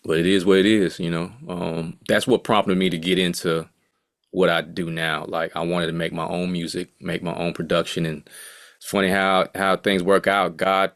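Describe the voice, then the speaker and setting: A man's voice: sits low, little pitch movement, normal speed, a bit bright, dry recording, studio quality. A man in his late thirties, a music producer speaking as an interview guest in a laid-back, rambling way full of asides. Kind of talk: casual interview talk.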